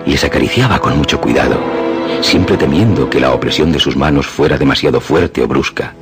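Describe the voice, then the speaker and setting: A man narrating a scripted radio drama as a grave storyteller, measured, literary and expressive. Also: extremely low pitch. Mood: grave, tender. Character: grave male storyteller narrating a dark rustic legend. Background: music.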